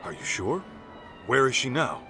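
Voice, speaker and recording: A man's voice: mid-range voice, very animated, normal speed, sharp tone. An actor playing an easygoing, blunt, streetwise tough guy in a video game, speaking in a casual, offhand way. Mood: politely inquiring. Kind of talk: naturalistic video game voice acting. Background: music.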